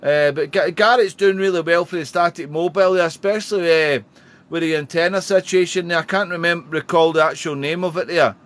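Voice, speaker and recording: A man's voice: fairly high pitch, fairly even intonation, brisk, fairly bright tone. A man talking casually as an amateur radio operator, chatty and repetitive, often tagging "there" onto his phrases. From Wales. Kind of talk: casual ham radio contact chat.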